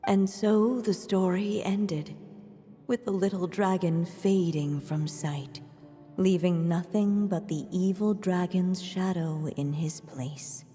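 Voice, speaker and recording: A woman playing a solemn storybook narrator in a game, telling a fairy tale in a measured way. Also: lowish voice, mellow. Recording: long reverb, music behind.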